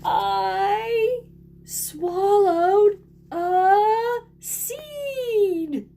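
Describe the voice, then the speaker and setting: A woman voicing a melodramatic young crocodile from a storybook, with exaggerated, drawn-out delivery. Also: very high-pitched, vocal fry, mellow, faint music behind, voice pushed up, full character voice. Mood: panicked, pleading. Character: melodramatic young crocodile who adores watermelon.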